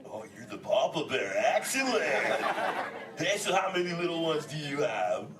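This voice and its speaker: A man's voice: on the high side, very animated, normal speed. A laid-back surfer sea turtle with a wildly swooping, drawling delivery full of exclamations and slang. He hosts a comic audience show, heard from a distance in an echoey, noisy space. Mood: cheerful, curious. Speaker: host of an interactive live audience show. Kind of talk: improvised comic audience banter. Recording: echoey, noisy hall, voice from afar.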